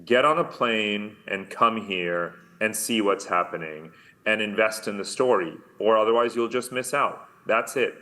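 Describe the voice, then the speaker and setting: Emphatic New York man, bright voice. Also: on the low side, a little slow. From United States. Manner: emphatic, repetitive, says "right?" and "you know" often. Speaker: keynote speaker. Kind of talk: conference talk over video call. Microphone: video call.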